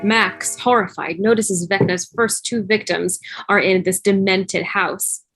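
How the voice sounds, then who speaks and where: A woman's voice: medium pitch, steady tone, measured pace, fairly bright tone, breathy edge, low-quality recording. Woman narrating a script over music. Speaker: stage-direction narrator. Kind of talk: scripted narration of a fan table read. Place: remote video call, music behind. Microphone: video call.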